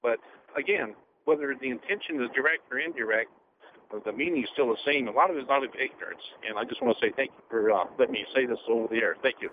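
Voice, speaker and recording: Measured man caller on a noisy phone line. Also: medium pitch. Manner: measured, with many pauses and fillers. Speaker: radio show caller. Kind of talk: call-in radio comment. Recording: phone line with faint noise.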